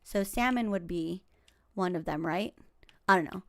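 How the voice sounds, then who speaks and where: A woman in her forties, a game streamer from Los Angeles whose first language is Tagalog, chatting casually through gameplay in a rambling way, with fillers, soft laughs and whispered asides. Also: on the low side, normal speed, no echo, studio quality. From Los Angeles, United States.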